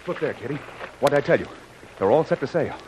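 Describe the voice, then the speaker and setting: A male actor playing a bold, insistent adventurer hero in an old radio serial, brisk and commanding. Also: medium pitch, lively intonation, background noise, close to an everyday voice. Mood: urgent, insistent. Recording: muffled, husky old radio recording.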